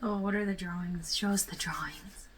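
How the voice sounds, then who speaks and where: A woman's voice: fairly low pitch, steady tone, moderate pace. A woman giving casual reaction commentary, thinking aloud in a rambling, speculative way. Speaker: reaction video host. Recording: clearly audible background noise.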